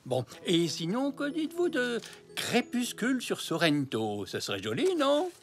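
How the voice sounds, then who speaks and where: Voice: mid-range voice, huge pitch swings, a little slow, soft background music. A performer voicing a kindly, slightly muddled old grandfather zebra in a French children's cartoon. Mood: kindly, agreeable.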